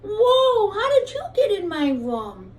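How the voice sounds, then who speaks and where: A woman voicing a proud but softening young princess in a children's puppet show, with frank, childlike phrasing. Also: very high-pitched, huge pitch swings, slow pace, roomy sound, pitched above the performer's own voice, heavily acted. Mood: startled. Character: proud young princess who learns to be kind. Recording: distant mic with faint background noise.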